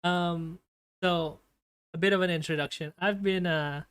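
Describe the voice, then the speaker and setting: Nervous, stammering man with a smooth voice. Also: high voice, fairly even intonation, a little slow, a bit bright, dry recording. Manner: nervous, stammering, many fillers. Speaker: streamer. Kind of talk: casual live-stream chat. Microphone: desktop streaming mic with noise gate.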